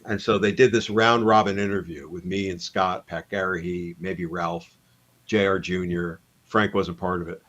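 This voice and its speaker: A man's voice: low voice, fairly even intonation, normal speed, fairly bright tone. A man, a comic book writer, talking casually as a guest in an interview, reflective and full of asides.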